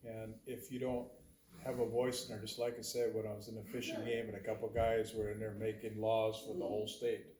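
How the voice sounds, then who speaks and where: A man's voice: fairly low pitch, little pitch movement, brisk. An older man running for state senate, giving an earnest, plain-spoken campaign speech at a community meeting and leaning hard on key words. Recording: echoey hall, faint background noise.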